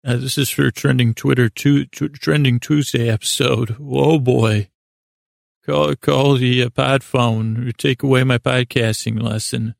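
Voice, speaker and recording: A man with a creaky, gravelly voice hosting a sleep podcast, delivering a meandering, hesitant, self-deprecating bedtime monologue full of trailing asides. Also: mid-range voice, steady tone, unhurried, dry recording, clean studio sound. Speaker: podcast host. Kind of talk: rambling bedtime monologue meant to lull listeners to sleep.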